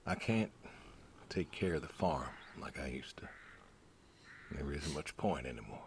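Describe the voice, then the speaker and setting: An actor playing a weary, stoic older pig farmer in a video game, terse, plainspoken and solemn. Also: male voice, a bass voice, animated, unhurried, slight background noise. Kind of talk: naturalistic video game acting.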